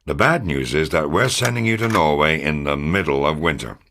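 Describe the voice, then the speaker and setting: A man playing a gruff, fatherly, middle-aged American intelligence officer in a wartime video game, grave and authoritative, with a gravelly, husky voice. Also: extremely low pitch, moderate pace, a bit bright. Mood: stern, wry. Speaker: military intelligence officer giving mission briefings. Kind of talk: scripted dramatic video game briefing.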